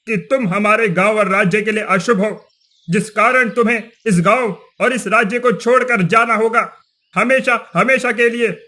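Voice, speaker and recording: Man acting a high, sharp, cruel village headman. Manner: sneering, accusatory, blustering. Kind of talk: cartoon moral story voice acting.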